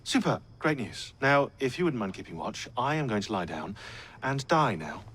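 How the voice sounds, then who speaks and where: Weary, husky British assassin. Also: male voice, mid-range voice, sing-song, fairly bright tone. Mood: exhausted, sardonic. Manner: dry sarcasm, halting confessional delivery. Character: weary, wry, wounded modern assassin man. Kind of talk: naturalistic video game acting.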